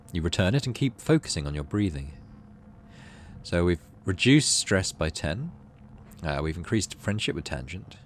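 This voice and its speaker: A man's voice: low voice, slight background noise. A man hosting a gaming video, reading story text aloud expressively and adding casual, musing commentary.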